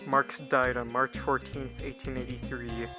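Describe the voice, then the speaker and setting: A man's voice: mid-range voice, level tone, unhurried, over loud music. A man reading scripted explainer narration for a puppet show.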